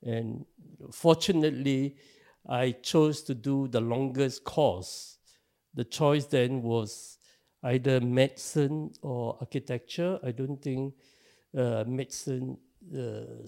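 An older man from Singapore, speaking with a Singaporean English accent, who gives measured, halting answers in an interview. His voice is gravelly and dark, and he often pauses on fillers as he thinks. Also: mid-range voice. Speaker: interview guest, activist.

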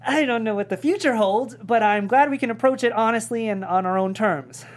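A woman's voice: on the high side, fairly quick, close to an everyday voice. A woman reading the part of a wry young male gamer and streamer at a comedy table read. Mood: upbeat streamer.

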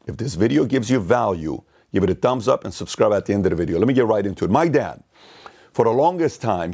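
A man hosting a motivational video, telling stories to the camera and acting out worried voices. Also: medium pitch. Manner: animated storytelling, acts out worried voices.

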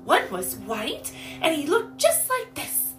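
A woman's voice: high voice, wildly swooping pitch, slow, a bit bright, soft background music, pitched above the performer's own voice, exaggerated voice. A woman voicing a caring, bubbly little girl in a storybook, with eager, exclamatory, folksy old-fashioned speech. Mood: animated, playful. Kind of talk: storybook character voice.

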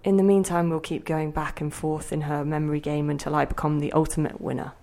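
Low-voiced woman a possessive, wistful inner spirit. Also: little pitch movement, brisk. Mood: musing, possessive. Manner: theatrical, musing, by turns sharp and intimate. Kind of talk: audio drama monologue and dialogue.

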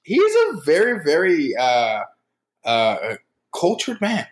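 A man's voice: fairly high pitch, huge pitch swings, slow pace. A young man co-hosting a film podcast, playful and teasing. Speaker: podcast co-host. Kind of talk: casual chat.

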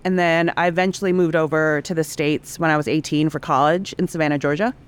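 Woman in her thirties, smooth clear voice, chatty podcast guest, lots of "like" fillers, laughs easily. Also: deep voice, fairly even intonation, quick pace. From Singapore, raised in Indonesia and Hong Kong. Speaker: guest, cookbook author. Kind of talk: casual podcast chat.

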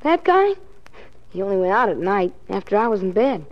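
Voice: medium pitch, very animated, moderate pace. A performer playing a small, hurt but earnest boy with an American accent and a youthful voice, in 1940s radio drama style. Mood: eager. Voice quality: youthful, boyish. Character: small injured boy, weak but earnest, street kid. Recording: muffled 1940s radio recording.

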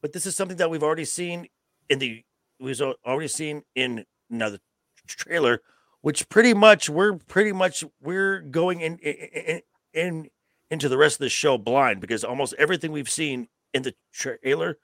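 A man with a creaky, gravelly voice gives opinions in a casual podcast chat. He speaks emphatically but haltingly, often repeating words. The sound is dry and close. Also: fairly high pitch, a bit bright, no echo. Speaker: podcast co-host.

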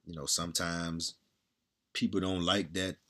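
A man talking bluntly and candidly in a casual monologue, with a strong, loud voice, repeating himself and swearing freely. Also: low voice, flat delivery, measured pace.

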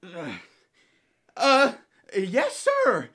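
A woman's voice: medium pitch, big pitch swings, very slow, fairly bright tone, husky, exaggerated voice. A woman playing a put-upon, folksy male cavalry corporal in a comic radio play, deferential and yelping, with rustic grammar. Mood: nervous, explaining.

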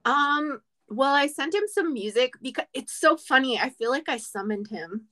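A woman's voice: high voice, animated, normal speed, sharp tone. A woman co-hosting a podcast in casual chat, playful and sarcastic, laughing often. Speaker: podcast co-host.

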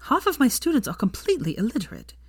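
A woman's voice: on the high side, wildly swooping pitch, fast, breathy edge, dry recording, studio quality, pitched above the performer's own voice, heavily acted. A woman voicing a bookish young female teacher who is exasperated and easily indignant, in a story reading. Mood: wry. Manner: muttering, indignant.

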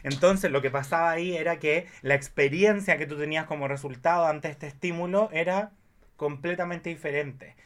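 A man's voice: on the high side, normal speed, fairly bright tone. A man in his thirties with a Chilean accent, chatting casually as a podcast guest in an effusive, rambling way. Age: 30s. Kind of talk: casual chat.

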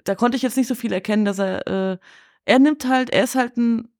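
A woman's voice: on the high side, a little fast, a little mellow, no room sound. Chatty woman, very dry sound. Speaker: podcast guest discussing a film. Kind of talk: casual chat, film discussion.

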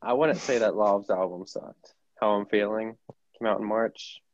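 A man's voice: on the low side, moderate pace, dry recording, dull audio. A man in his twenties, a podcast guest, chatting playfully and teasing the others. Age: 20s. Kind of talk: casual podcast chat.

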